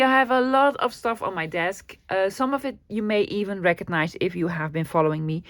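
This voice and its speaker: A woman's voice: mid-range voice, fairly bright tone, no room sound. A middle-aged woman from the Netherlands with a Dutch accent, hosting a casual craft vlog in a friendly, self-deprecating way with frequent laughs and ums. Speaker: craft channel host. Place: craft room desk.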